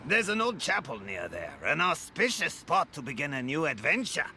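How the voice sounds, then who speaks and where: An actor playing an eccentric, muddled, friendly old adventurer in a video game, with a creaky voice and a rambling, theatrical manner. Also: male voice, on the high side, big pitch swings, moderate pace, a little hiss or hum, heavily acted. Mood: eager. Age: older. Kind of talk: dramatic video game dialogue. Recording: loud cinematic game music behind voice.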